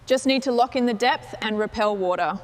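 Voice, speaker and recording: A woman's voice: on the high side, a little fast. A woman giving short work remarks as part of a truck restoration team.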